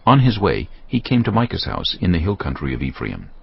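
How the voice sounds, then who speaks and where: A man's voice: deep voice, huge pitch swings, a little fast, gravelly, slightly rough, lo-fi sound. A man narrating scripture as a dramatised audiobook in a solemn storytelling manner. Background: music.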